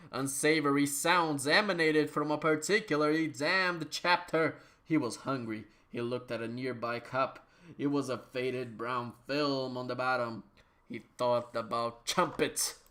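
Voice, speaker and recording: A woman's voice: rumbling low, steady tone, unhurried, a bit bright. A woman narrating absurd comic fiction as a dramatic reading, in a mock-serious manner. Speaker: narrator, reader.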